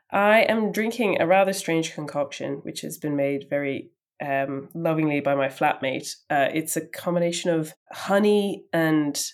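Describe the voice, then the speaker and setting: A woman guesting on a podcast, chatty and laughing between thoughts, a little raspy from a summer cold. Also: low voice, measured pace. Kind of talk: casual conversation.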